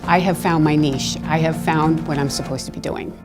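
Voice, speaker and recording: An older woman working as an eviction mediator, speaking in a soft, gentle, non-threatening voice in an interview. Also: low-pitched, steady tone, normal speed. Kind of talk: interview in a produced video. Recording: music bed under the voice.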